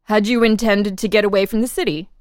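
An insistent examining official played by a woman puts methodical, pressing questions to a murder prisoner. The delivery is a dramatic reading at a middle pitch, recorded very dry. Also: fairly even intonation, fast talker, no echo, close to an everyday voice. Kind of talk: dramatic reading of an interrogation transcript.

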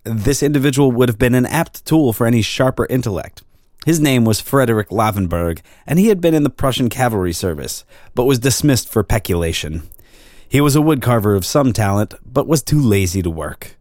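A man narrating an old-fashioned drama in a formal, measured storytelling manner. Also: medium pitch, animated, a little mellow, no echo, studio quality. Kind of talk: scripted literary narration of a drama.

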